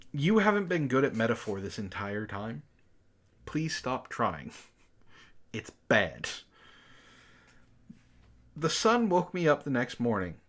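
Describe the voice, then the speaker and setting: A man's voice: medium pitch, fairly bright tone. A man reading amateur fiction aloud expressively, breaking in with sarcastic, exasperated comments as a book club host.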